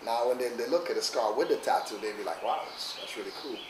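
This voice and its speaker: A middle-aged Trinidadian man talks in a loose, rambling way, full of "you know" and "like." His voice sits at a medium pitch and is heard from a little way off in an echoey room with faint background hiss. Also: quick pace. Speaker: interviewee, father. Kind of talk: casual interview. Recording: echoey room, mic at a distance.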